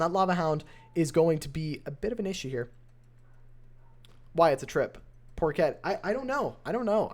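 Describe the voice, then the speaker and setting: A young man with a voice going hoarse, calling a mobile strategy game match on his live stream. His play-by-play is excitable and builds to near shouts at close finishes. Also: high voice. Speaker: esports caster and streamer.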